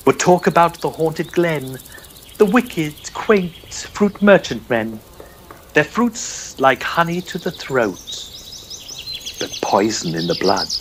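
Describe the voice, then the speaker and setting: A man giving a theatrical, measured dramatic reading of Victorian verse as a narrator. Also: a little high, noisy background.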